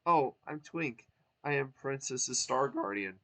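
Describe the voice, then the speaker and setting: A man's voice: mid-range voice, lively intonation, slow, pushed and bright, exaggerated voice, poor audio. A man voicing a young, timid male star creature who sounds earnest and anxious. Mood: pleading.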